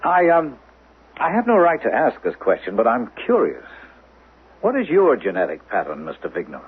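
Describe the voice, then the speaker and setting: Uneasy doctor, husky, very animated. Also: male voice, mid-range voice, normal speed, exaggerated voice. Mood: nervous, guarded. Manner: hesitant, stammering, deferential. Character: uneasy, compliant male doctor in a dystopian future. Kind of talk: 1970s radio drama acting. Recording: muffled old radio broadcast.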